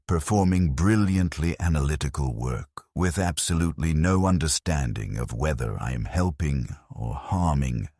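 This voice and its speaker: A man narrating a first-person story in a grave, measured, detached way. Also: a bass voice, a little mellow, husky, dry recording, studio quality.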